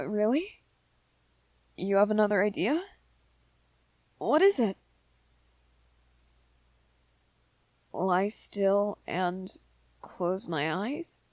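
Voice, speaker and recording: A woman voicing a gentle, lovestruck young vampire man in a romantic ASMR roleplay, earnest and hesitant, with small stammers. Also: mid-range voice, animated, takes it slowly, long pauses, no room sound. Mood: affectionate, shy.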